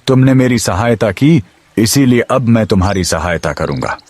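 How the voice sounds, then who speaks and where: A man voicing a proud, commanding king in an animated moral story. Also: lowish voice, moderate pace, no room sound, lightly acted. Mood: reassuring, grateful. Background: music.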